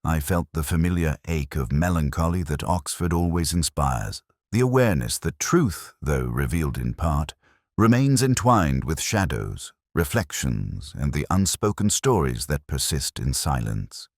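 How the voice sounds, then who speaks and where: Male narrator for literary detective fiction: extremely low voice, expressive intonation, measured and solemn. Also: no echo, clean studio sound. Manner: measured, reflective, solemn delivery.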